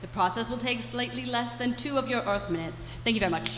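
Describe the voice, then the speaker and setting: A woman's voice: on the high side, fast, lightly acted. A woman in a live radio play playing an officious, impatient alien commander making pompous, exasperated announcements. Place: live performance in a hall. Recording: echoey hall, faint noise.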